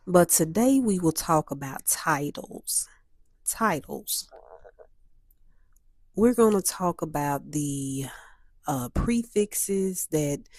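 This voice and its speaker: Low-voiced woman, slightly stuffy. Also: a little mellow, dry recording, studio quality. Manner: measured, repeats and restates points, many fillers. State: stuffy from a summer cold. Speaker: host. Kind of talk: solo commentary talk.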